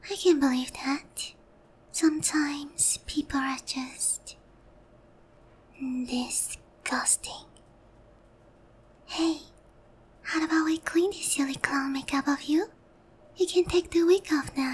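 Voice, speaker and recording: A woman playing a lonely, sweet and softly possessive young witch girl in an ASMR roleplay, breathy and gentle. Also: high voice. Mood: gentle concern. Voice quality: soft, breathy, whispery. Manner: slow, gentle, coaxing.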